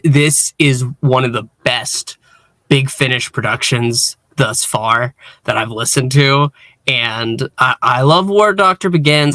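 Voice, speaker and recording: A man hosting a casual review discussion, speaking in a halting way with repeated false starts. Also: mid-range voice, pushed and bright, dry recording. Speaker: podcast host and reviewer.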